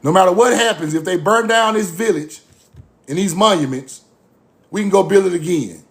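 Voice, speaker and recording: A man with a high, gravelly voice, talking bluntly and repeating his points. He swears freely and lectures with a preacher's push. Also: normal speed. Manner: blunt, repetitive, profane, preachy. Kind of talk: fiery informal lecture.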